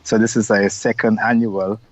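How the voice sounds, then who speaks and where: A man's voice: fairly low pitch, flat delivery, normal speed, no room sound. A middle-aged man from Guyana with a Guyanese accent, talking as an interview guest in an upbeat, rambling way with many fillers. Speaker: carnival organizer, interview guest. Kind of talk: casual interview over video call. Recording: dull lo-fi video-call audio, dry.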